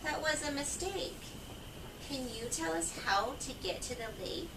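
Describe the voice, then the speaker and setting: A polite, earnest little fawn from a storybook as a woman reads him speaks in a slightly high, slow voice. It was recorded in an echoing room. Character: polite, earnest young male deer fawn. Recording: echoey, distant, noisy home recording.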